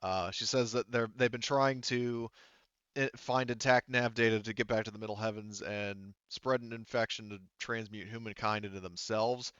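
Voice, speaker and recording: A man narrating casually and haltingly as game master of a tabletop roleplaying game, in an informal, improvised way. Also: lowish voice, fairly even intonation, a bit bright, clear, resonant tone, no echo, muffled recording.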